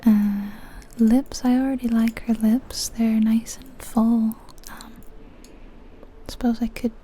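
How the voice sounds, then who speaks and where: A woman gives casual ASMR game commentary in a soft, breathy whisper. She goes slowly and gently, thinking aloud with very little rise or fall in her delivery. There is faint noise behind her voice. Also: fairly high pitch, level tone. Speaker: ASMR video creator, host.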